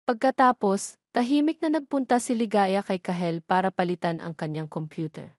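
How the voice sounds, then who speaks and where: A woman's voice: medium pitch, normal speed, darkish tone, no echo. Woman narrating, close dry sound. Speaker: story narrator. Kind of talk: scripted narration of a romance drama.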